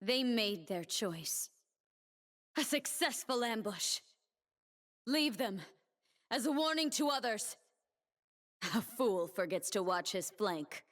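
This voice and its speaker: An actress voicing a proud, commanding warrior dancer who defends her homeland, speaking solemn, measured lines for a video game. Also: on the high side, lively intonation, a bit bright, long reverb tail. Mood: grim resolve.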